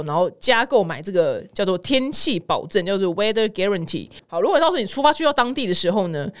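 A middle-aged woman with a Taiwanese Mandarin accent hosts casual news commentary with personal anecdotes, chatty, colloquial and exclamatory. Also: medium pitch. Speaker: podcast host.

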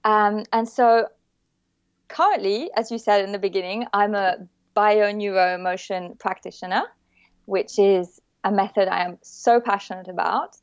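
A woman with a French accent, a guest chatting casually in an interview, warm and often laughing with hesitant fillers. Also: mid-range voice, unhurried, clean tone, no echo, highs cut off. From born in the UK, raised in the south of France. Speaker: guest, bio-neuroemotion practitioner.

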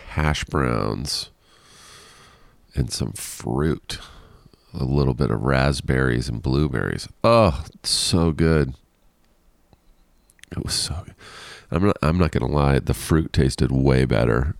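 Very deep, slow, gravelly-voiced man. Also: no echo. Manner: rambling, self-deprecating, laughs often. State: congested, nasal. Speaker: podcast host. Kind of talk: casual solo podcast chat.

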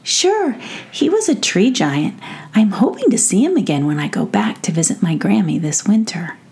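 A woman's voice: on the low side, very animated, normal speed, darkish tone, slightly rough. A woman voicing a friendly, polite, hopeful young boy in a children's story, earnest and easygoing.

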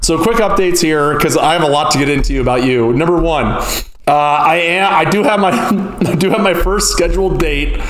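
A man co-hosting a podcast, telling stories loudly and animatedly, laughing often. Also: fairly high pitch, normal speed, a little hiss or hum. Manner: loud, animated storytelling with laughs. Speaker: podcast co-host. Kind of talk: casual podcast chat. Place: home room. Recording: echoey room.

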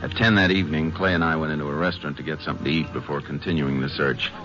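A man acting a steady, courteous Texas Ranger investigator in a 1950s radio drama, clipped and matter-of-fact. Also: extremely low pitch, very fast, faint music behind. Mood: grave, factual. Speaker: radio actor. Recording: muffled old 1950s radio recording.

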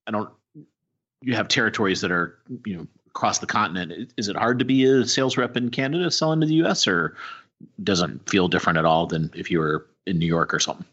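A man's voice: on the low side, fast talker, fairly bright tone, husky. A man co-hosting a podcast, asking a guest questions in an easygoing, casual way. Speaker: podcast co-host. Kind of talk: casual interview chat. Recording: lo-fi audio.

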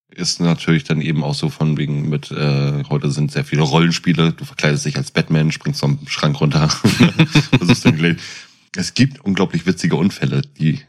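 A man's voice: extremely low pitch, breathy edge. A man chatting casually as a podcast co-host, explaining and asking probing questions.

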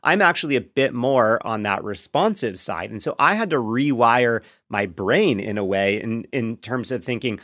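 Male podcast guest, medium pitch, candid and explanatory, measured delivery, dry close recording. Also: animated, fairly bright tone, no room sound. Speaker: podcast guest, co-author and partner of a sex therapist.

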